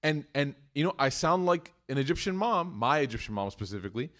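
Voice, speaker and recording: A man giving a live sermon to a room of listeners, chatty and joking, moving between loud emphatic bursts and hushed points. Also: fairly high pitch, very animated, a little fast. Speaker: mosque speaker. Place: room with an audience.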